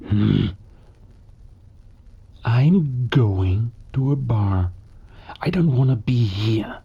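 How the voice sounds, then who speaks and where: A man playing a sullen, embittered character in an audio drama, speaking in a terse, weary, deliberate way. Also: mid-range voice, lively intonation, creaky, mellow, roomy sound, in a large hall, lo-fi sound, exaggerated voice. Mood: sullen anger. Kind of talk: cinematic audio drama acting.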